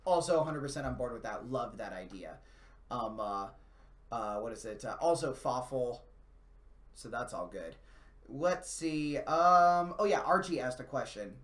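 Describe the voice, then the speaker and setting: A man's voice: medium pitch, expressive, unhurried, a bit bright. A man, co-hosting a gaming live stream in casual, rambling, enthusiastic and slangy chat as he answers viewers. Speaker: co-host of a video game live stream. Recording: echoey room.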